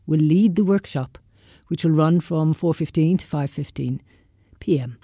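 Mellow woman, dry close sound. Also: medium pitch, no echo. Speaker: community radio presenter. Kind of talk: scripted announcements of local events.

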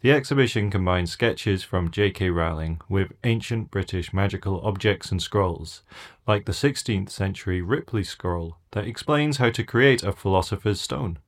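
Very low-voiced man presenting on radio. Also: normal speed. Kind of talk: scripted events listing narration.

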